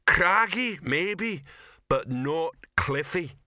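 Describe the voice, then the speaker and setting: A hulking stone troll, as acted by a male narrator in an audiobook. He is ponderous and easily wounded, protesting in a forceful, bright-edged voice that sits close and dry. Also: fairly high pitch, expressive, extremely slow, no echo, pitched above the performer's own voice, heavily acted. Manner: ponderous, aggrieved. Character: touchy, slow-thinking, hulking male troll made of stone.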